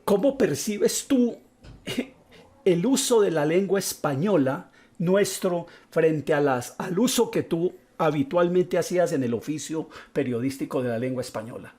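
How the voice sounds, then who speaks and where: A man's voice: on the high side, expressive, measured pace, a little mellow. A man hosting a TV talk show, asking long, winding interview questions. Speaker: TV host.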